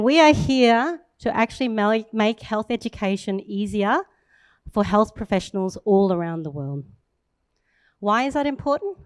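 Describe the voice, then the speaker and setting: Upbeat Thai woman pitching on stage. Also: clear, resonant tone, no room sound. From Thailand. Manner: upbeat, chatty, laughs at herself. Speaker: startup founder pitching.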